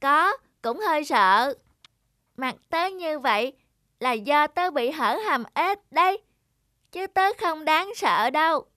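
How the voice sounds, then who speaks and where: A woman's voice: high voice, animated, slow, pushed and bright, dry recording, clean studio sound, heavily acted. A woman voicing a friendly, confident young schoolchild in a cartoon. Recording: music under the voice.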